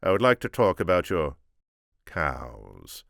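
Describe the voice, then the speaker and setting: A man voicing a lordly Count who speaks in a measured, polite manner. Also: low-pitched, wildly swooping pitch, gravelly, a bit bright, no room sound, studio quality, full character voice. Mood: suave. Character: lordly Count in a golden carriage.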